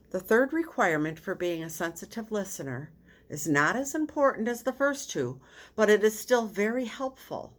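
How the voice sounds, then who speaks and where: A woman narrating a self-help book as a scripted reading, with measured, deliberate phrasing. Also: on the low side, a bit bright. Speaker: audiobook reader.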